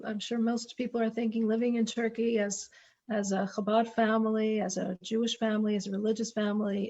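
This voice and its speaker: A woman with a high voice asks eager questions in a casual online interview. The audio is dull and lo-fi, like a call. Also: a little mellow.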